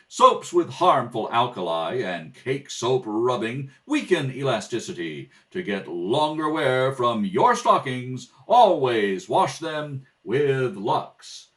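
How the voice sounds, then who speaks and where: A man reading as announcer and narrator of a radio play in a measured, presentational 1930s style. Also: medium pitch, a bit bright. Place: home kitchen table.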